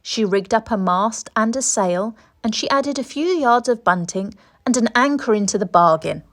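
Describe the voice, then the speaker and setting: A woman reading a children's story aloud in an expressive storytelling style. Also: a little high, moderate pace. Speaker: storybook reader.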